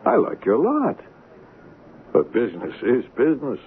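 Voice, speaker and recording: A man's voice: mid-range voice, wildly swooping pitch, normal speed. On a narrow 1970s radio recording with faint noise, a coolly threatening crook. His mid-range voice slides up and down with false friendliness while he keeps it all business. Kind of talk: 1970s radio drama acting.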